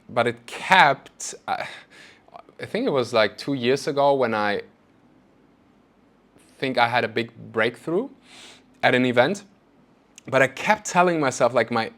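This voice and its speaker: A man with German as his first language hosts a relaxed podcast conversation. He tells personal stories in an animated, self-deprecating way and keeps the chat easygoing. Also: mid-range voice, a little slow, long pauses. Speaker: podcast host. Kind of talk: casual interview conversation.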